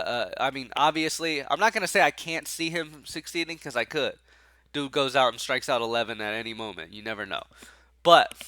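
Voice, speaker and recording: A man with a stuffy, nasal voice from allergies, hosting casual sports betting picks, excitable and slangy. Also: medium pitch, dry recording.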